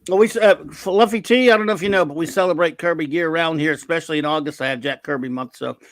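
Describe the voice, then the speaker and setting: A man's voice: a little high, fast talker, dry recording, clean studio sound. A man hosting a casual livestream chat over a video call, rambling and hesitant, often poking fun at his own memory. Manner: rambling, hesitant, self-deprecating. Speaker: show host.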